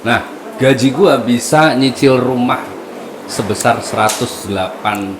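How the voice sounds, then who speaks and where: A man's voice: mid-range voice, unhurried. A man who works as a radio announcer, chatting casually and jokingly, mixing in words. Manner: animated, joking, mixes in Javanese words. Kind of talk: casual podcast chat. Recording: noisy room ambience.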